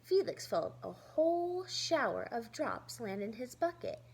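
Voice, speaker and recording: A woman reads a children's story aloud as a teacher would, pausing to explain things simply. Her voice is fairly high and very animated, at an unhurried, slow pace. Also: creaky, slightly rough. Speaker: teacher reading aloud to pupils. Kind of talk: expressive children's story read-aloud with asides.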